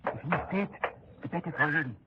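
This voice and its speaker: Man playing a brusque French jailer, higher-pitched. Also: lively intonation, brisk, close to an everyday voice. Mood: irritated, bossy. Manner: scolding, brusque. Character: gruff, impatient, bossy French male prison jailer. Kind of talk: 1930s radio acting. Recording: dull, noisy 1930s radio recording.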